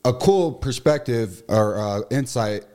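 A young man in his twenties who talks loudly and with emotion, a former football player chatting as a studio guest. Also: medium pitch, unhurried. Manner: loud, emotional, animated delivery. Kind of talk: casual radio chat.

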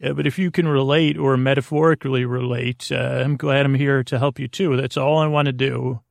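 A man with a creaky, lulling voice hosting a bedtime podcast, meandering haltingly and interrupting himself as he drifts between thoughts. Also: medium pitch, fairly even intonation, dry recording, studio quality. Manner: halting, digressive, self-interrupting. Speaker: host of a bedtime sleep podcast. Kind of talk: rambling, meandering bedtime monologue.

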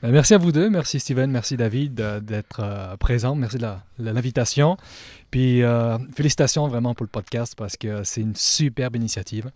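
A man with a French accent talks in a relaxed, self-deprecating way, dotting his speech with fillers. His voice sits at a middle pitch. The recording is dry and close, with no room sound. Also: moderate pace, no echo. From France. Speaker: guest, trumpeter and music professor. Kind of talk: relaxed podcast interview.

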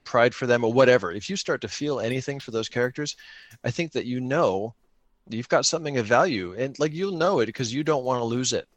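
A man's voice: mid-range voice, expressive, fairly quick, dry recording. A man chatting casually as a podcast guest, speaking in an earnest, emphatic way. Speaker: podcast guest and solo game player. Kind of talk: casual chat.

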